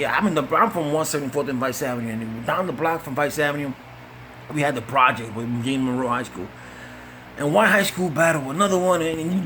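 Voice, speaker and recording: New york guy doing standup, kinda high voice, rambling and cussing, bit of hiss behind him. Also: gravelly. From the Bronx, New York. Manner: loose, rambling, profane street talk with animated acted-out quotes. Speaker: stand-up comedian. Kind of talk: stand-up storytelling.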